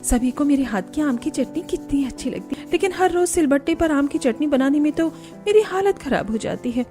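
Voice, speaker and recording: A woman's voice: sits high, expressive, fairly quick, warm tone, soft background music. A woman voicing an overworked young daughter-in-law in a Hindi family cartoon. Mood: thinking aloud. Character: young daughter-in-law, overworked, grumbling about kitchen chores.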